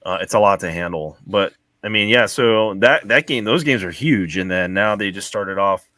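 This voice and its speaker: A man's voice: lowish voice, moderate pace, pushed and bright, no echo. A man chatting casually as a panelist, explaining things in loose conversational phrases. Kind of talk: casual chat.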